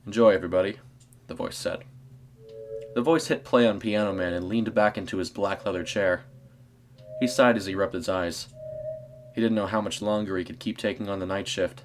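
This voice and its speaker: Low-pitched man narrating a story. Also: moderate pace, pauses often, faint music behind. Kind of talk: scripted horror story narration.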